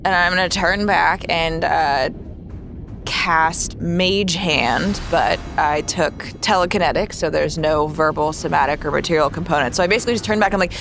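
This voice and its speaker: Creaky-voiced woman playing a tabletop game. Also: deep voice, moderate pace. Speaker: player in a tabletop roleplaying game. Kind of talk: casual narrating of character actions. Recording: background music under voice.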